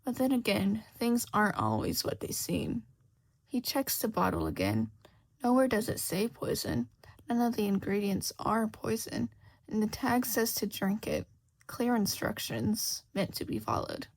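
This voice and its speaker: A woman narrates a piece of fan fiction from a script. Her voice is husky, with a creaky edge, and her pitch moves expressively as she reads. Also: very animated, a little slow. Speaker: podfic reader.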